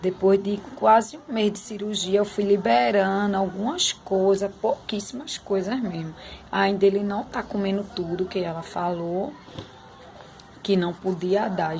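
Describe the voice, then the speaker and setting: A young woman vlogging about her son's time in hospital, slightly hoarse, talking to her viewers in a heartfelt, chatty way. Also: mid-range voice, fairly even intonation, a little slow, a little mellow, a little hiss or hum. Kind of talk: emotional personal vlog.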